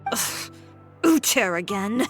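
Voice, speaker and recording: A woman's voice: medium pitch, expressive, slow. A woman playing a blunt, confident young designer in a video game, speaking in a composed, curt, no-nonsense way. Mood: irritated. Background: background music.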